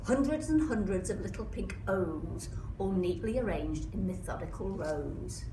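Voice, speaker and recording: A woman reading a rhyming children's story aloud like a teacher, with expressive, emphatic delivery. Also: lowish voice, a little slow. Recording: echoey room, distant mic, noisy background.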